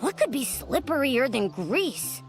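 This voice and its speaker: A woman voicing a small, chatty, anxious companion creature with an expressive manner in a video game cutscene. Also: sits high, measured pace, faint music behind, exaggerated voice. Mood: doubtful.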